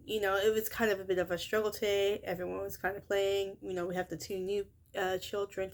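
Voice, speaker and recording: A young woman with a high, shrill voice chats casually about her workday. She rambles, leans on fillers like "you know" and "uh", and keeps correcting herself mid-sentence. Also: gravelly. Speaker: childcare worker. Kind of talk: casual vlog recap of a workday.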